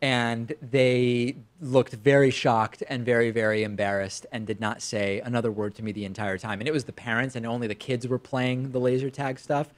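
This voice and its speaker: American male talk show host, medium pitch. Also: flat delivery, moderate pace, hardly any pauses. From Argentina, moved to the United States at five. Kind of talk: conversational storytelling and commentary.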